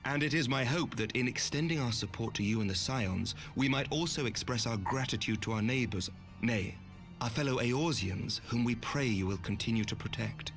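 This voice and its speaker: Courteous noble commander, gravelly edge. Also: male voice, mid-range voice, a bit bright, faint music behind. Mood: grateful, formal. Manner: formal, measured. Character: courteous noble lord commander, earnest statesman. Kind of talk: video game cutscene acting.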